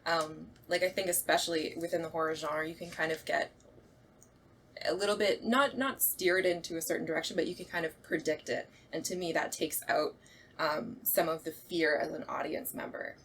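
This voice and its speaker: A woman's voice: deep voice, steady tone, moderate pace, fairly bright tone, a little hiss or hum. A woman giving her thoughts in a casual, reflective discussion.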